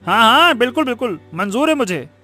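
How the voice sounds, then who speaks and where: A man voicing an excitable, carefree male donkey in an animated moral story, repeating his words as he exclaims. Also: very high-pitched, a little fast, soft background music, heavily acted. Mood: delighted.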